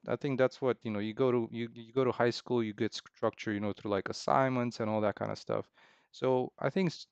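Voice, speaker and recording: A man's voice: medium pitch, gravelly, smooth, no echo. Hesitant man, slightly muffled mic. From Romania. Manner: hesitant, rambling, halting with repeated phrases. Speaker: game developer streaming. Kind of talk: casual unscripted chat, answering viewers' questions.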